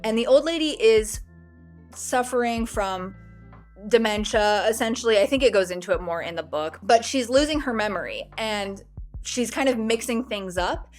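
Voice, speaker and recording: A woman's voice: fairly high pitch, animated, moderate pace, soft background music. A woman with a slightly stuffy, cold-recovering voice, talking casually as a book review vlogger in a chatty, self-deprecating way.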